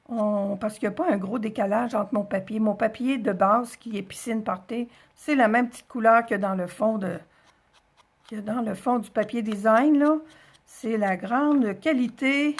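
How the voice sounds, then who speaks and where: A woman with a Quebec French accent speaks in a fairly high voice with a distinctly husky, breathy grain. She hosts a casual craft demonstration, explaining each step informally. Her delivery is chatty, and she often thinks out loud. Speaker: craft tutorial host.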